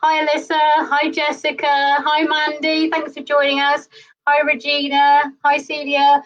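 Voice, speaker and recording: A woman's voice: sits high, completely flat delivery, measured pace, a bit bright, dull audio. A woman hosting a live stream over a video call, upbeat and greeting viewers. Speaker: host.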